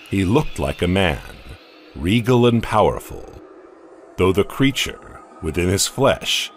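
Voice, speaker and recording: Deep-voiced guy narrating a horror comic, slow and expressive, faint hiss behind. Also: creaky. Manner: slow, deliberate, dramatic.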